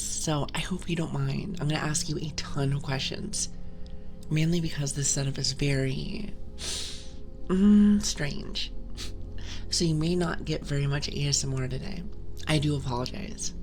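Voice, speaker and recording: A woman's voice: deep voice, steady tone, moderate pace, music in the background. A woman streamer whispering and chatting casually to her viewers through a binaural mic, sniffling with a stuffy nose. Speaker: ASMR streamer. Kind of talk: whispered ASMR livestream chat. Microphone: binaural ASMR microphone.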